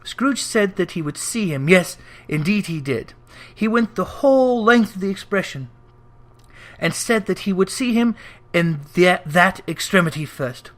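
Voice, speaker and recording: A narrator giving an expressive, scripted reading of a classic storybook. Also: medium pitch, unhurried.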